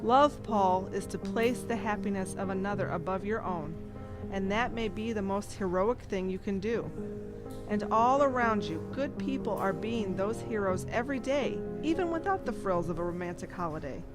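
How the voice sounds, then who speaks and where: A woman playing an earnest, kindly nun who tells stories and teaches with a deliberate, emphatic delivery. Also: mid-range voice, music in the background, natural voice. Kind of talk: audio drama storytelling.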